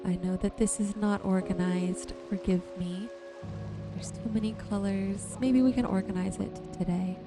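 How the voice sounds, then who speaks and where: A soft-spoken woman giving unhurried ASMR gameplay commentary, musing to herself and her viewers. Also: mid-range voice, fairly even intonation. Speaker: gaming streamer and host. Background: music and rain sounds.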